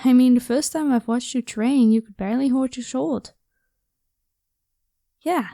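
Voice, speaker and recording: Casting: actress as protective, playful vampire woman, soft-toned and higher pitched, reassuring everyday delivery, for ASMR audio drama. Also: measured pace, dry recording, clean studio sound. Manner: casual, encouraging, reassuring.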